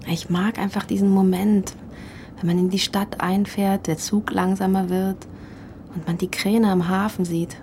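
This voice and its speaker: A woman's voice: mid-range voice, flat delivery, a little slow, warm tone, slight background noise, close to an everyday voice. A woman playing a frank, unfussy partner in a naturalistic radio drama, speaking casually and directly. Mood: nostalgic, content.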